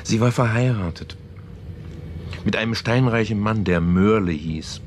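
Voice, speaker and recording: Actor playing low-voiced, halting, earnest man storyteller. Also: a little hiss or hum, close to an everyday voice. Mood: earnest storytelling. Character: man haltingly retelling a novel to a sceptical woman. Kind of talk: German radio play, naturalistic conversational storytelling.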